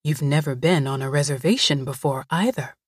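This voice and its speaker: A woman voicing a calm older woman who comforts a frightened girl in a low, soothing voice. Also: fairly quick, breathy edge, dry recording, studio quality.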